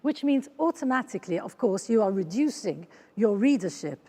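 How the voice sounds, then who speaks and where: A woman's voice: medium pitch, big pitch swings, moderate pace, warm tone. A woman interviewing writers, speaking hesitantly with many "um"s. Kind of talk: panel interview. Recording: long hall reverb.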